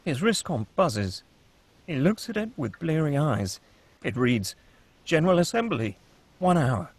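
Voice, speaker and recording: Husky man reading stage directions. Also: on the high side, a little slow, dry recording. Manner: steady, matter-of-fact reading. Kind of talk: table read narration.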